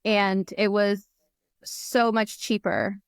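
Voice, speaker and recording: Guest, American woman, 30s; smooth, clear, talkative, laughs a lot; earphone mic on a call, dry room. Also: medium pitch, fairly even intonation, slow pace, dry recording. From United States. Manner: chatty, laughs often, many fillers. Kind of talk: casual interview chat. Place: small room indoors. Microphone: earphone headset on video call.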